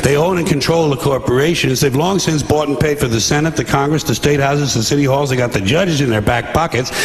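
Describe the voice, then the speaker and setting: Male stand-up, fairly high voice, sardonic and blunt, live roomy venue. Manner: blunt, sardonic, hammering repetition. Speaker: stand-up comedian. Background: audience.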